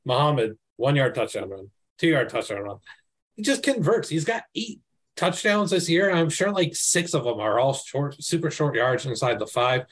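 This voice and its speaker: Excitable man talking college football. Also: medium pitch, moderate pace, slightly rough. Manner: excited, emphatic, casual slang. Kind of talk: casual sports podcast chat.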